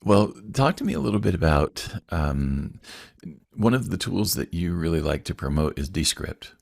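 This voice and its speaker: A man's voice: sits low, expressive, normal speed, vocal fry, darkish tone, no echo, studio quality. A man hosting a podcast, asking questions in a friendly, enthusiastic way. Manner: friendly, enthusiastic, laughs often. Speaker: podcast host. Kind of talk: casual interview.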